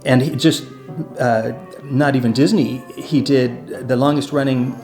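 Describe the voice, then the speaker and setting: A man's voice: medium pitch, fairly even intonation, measured pace, a little mellow, faint music behind. A man hosting a show, talking casually and informally to viewers in a rambling, conversational way. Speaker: host.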